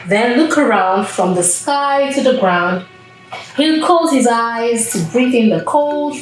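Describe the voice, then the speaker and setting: Slow-paced woman reading to children, very echoey. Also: a little high. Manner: simple, child-directed phrasing with pauses. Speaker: children's read-aloud host. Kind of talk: slow storybook read-aloud, asking young listeners questions. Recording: distant mic in echoey room, background music.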